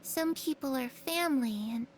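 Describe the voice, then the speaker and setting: A woman voicing a friendly, naive little ghost girl in a video game, with a childlike, halting way of talking. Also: sits high, background noise, natural voice. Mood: uncertain.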